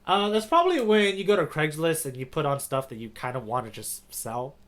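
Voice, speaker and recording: A man's voice: a little high, expressive, fairly quick, a bit bright. An American man co-hosting a podcast in a casual, conversational way, often checking in with his listener. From United States. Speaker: podcast co-host. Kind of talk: casual chat.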